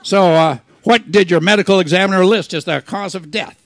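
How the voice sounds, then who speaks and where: Man playing creaky hardboiled radio private eye. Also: high voice, a little fast, a faint crowd. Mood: confident. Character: wisecracking hardboiled male private detective narrating his case. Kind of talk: old-time radio detective play, performed live.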